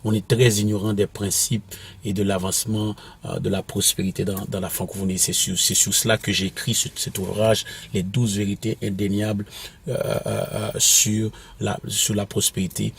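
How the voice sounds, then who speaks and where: A minister from Quebec, whose first language is English, answers interview questions in a halting way, with many restarts and fillers. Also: male voice, on the low side, level tone, vocal fry, a little hiss or hum. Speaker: apostolic minister and author.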